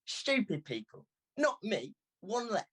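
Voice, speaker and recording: A man plays an impish young girl in a storybook reading. The voice is pitched high with a husky edge. She is bouncy, insistent and gloats freely as she teases. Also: big pitch swings, takes it slowly, fairly bright tone, heavily acted. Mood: stubborn, scornful.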